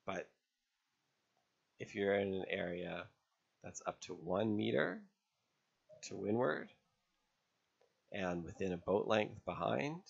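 A man narrating an instructional lesson as an instructor, explaining step by step in a measured way with pauses. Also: sits low, creaky, husky, highs cut off. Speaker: sailing instructor. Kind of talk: instructional narration over drawn diagrams.

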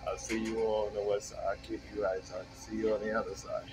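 A man in his forties, a mobile welder, casually talking to the camera in a laid-back, unhurried way while working outdoors at a job site, recorded on a phone. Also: on the low side, flat delivery, over loud music. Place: outdoors on a work site, beside a truck. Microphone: handheld phone camera and wireless earpiece.

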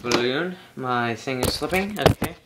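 Slow-talking teen guy on a noisy webcam. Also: medium pitch. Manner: chatty, self-amused, thinking aloud. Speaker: vlogger. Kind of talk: casual rambling vlog. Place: small bedroom, indoors. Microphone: webcam at arm's length. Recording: noisy home webcam recording.

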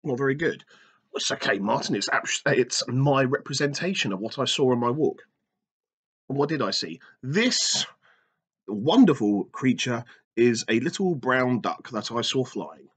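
Husky man joking with a toy. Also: mid-range voice, expressive, moderate pace. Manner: jokey, teacherly, talks back to a silent toy. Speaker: host of kids' activity videos. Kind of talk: playful talk to a toy puppet. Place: small brick-walled room indoors. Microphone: webcam in front of him.

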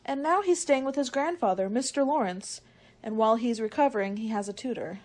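A woman's voice: a little high, brisk, a little mellow, lightly acted. A woman acting a prim, proper elder teenage sister in an amateur radio drama, with a reproving manner. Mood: prim, scolding.

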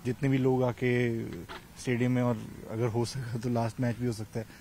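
A man's voice: medium pitch, little pitch movement, normal speed, warm tone. A man, answering interview questions as a sports official, mixing in English words, outdoors. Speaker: cricket association official, interviewee. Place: outdoors in a large empty cricket stadium. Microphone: handheld interview mic held toward him. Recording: clear outdoor ambient noise.

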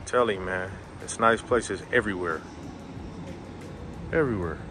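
A man's voice: on the low side, a little slow. A man in his thirties casually narrating a travel vlog in a laid-back, slangy way, often repeating himself. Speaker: travel vlogger. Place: outdoors on a city rooftop. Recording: loud background music, outdoor city rooftop.

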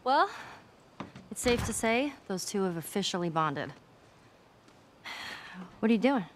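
Casting: teenage girl survivor, American, mid-pitched, wry but caring, naturalistic game drama over noisy ambience. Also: big pitch swings, a little slow. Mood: wry, teasing. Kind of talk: naturalistic video game cutscene acting.

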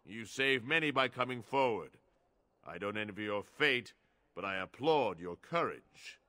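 An actor playing a stern, authoritative older captain of the city guard in a fantasy game. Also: male voice, fairly low pitch, expressive, measured pace, bright, forceful tone. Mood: grudging. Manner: authoritative, curt, commanding.

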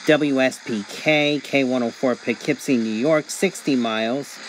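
A man's voice: medium pitch, a little slow, noisy background. A man giving a casual, methodical, matter-of-fact hands-on demonstration commentary indoors as a reviewer. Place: indoors at a table. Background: sound from a radio.

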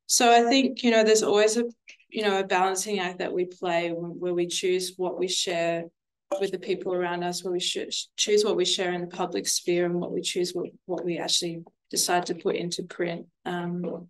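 A woman answering a question slowly and thoughtfully, searching for words as she goes. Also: lowish voice, level tone, poor audio. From Australia. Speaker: author in conversation. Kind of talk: literary conversation. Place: lecture room, seated on a small stage.

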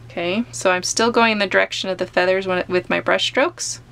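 A woman art teacher calmly talking through a step-by-step painting tutorial as she paints at a table. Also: medium pitch, gravelly. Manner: gentle, unhurried explaining while painting. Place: home studio, overhead camera on painting table.

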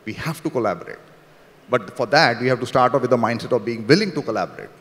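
A man on a conference panel sets out his argument in measured steps, filling pauses with "uh". His voice is mid-pitched. It comes through a handheld mic in a large, echoing hall. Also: animated, a bit bright. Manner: measured, explanatory, with fillers. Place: large conference stage with a long reverb. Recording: hall reverb, stage PA.

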